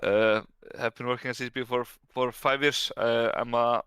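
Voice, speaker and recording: A man's voice: medium pitch, steady tone, moderate pace, fairly bright tone, smooth, no echo, studio quality. A man from Iceland, a brand director and guest, answering interview questions in an easygoing way with frequent restarts.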